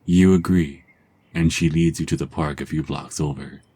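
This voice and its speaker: A man narrating a second-person ASMR story in a calm, soft-spoken, husky voice. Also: rumbling low, moderate pace.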